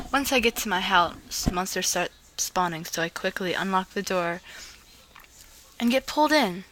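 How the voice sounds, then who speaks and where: Bright-voiced woman reading fan fiction casually. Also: mid-range voice, poor audio. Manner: informal, giggly, stumbles over words while reading. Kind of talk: casual vlog chat and amateur story read-aloud.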